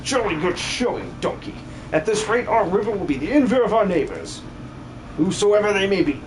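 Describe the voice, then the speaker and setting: A man voicing a refined, formal villager with genteel, measured politeness in a hobby game dub. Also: high-pitched, sing-song, slight background noise. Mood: approving. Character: prim, refined aristocratic villager, politely enthusiastic.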